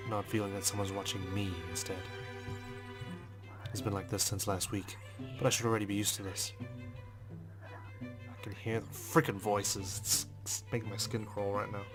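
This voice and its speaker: A man reading visual novel text aloud as a let's player, in a measured, understated storytelling manner with brief asides. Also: lowish voice, little pitch movement. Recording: music behind the voice. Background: game music.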